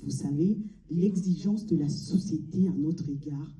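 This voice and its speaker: A woman from Mali delivering a solemn, deliberate theatrical monologue, pausing between short phrases. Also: sits low, dark tone. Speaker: amateur stage performer. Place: large cinema auditorium before an audience. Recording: echoey hall, distant mic.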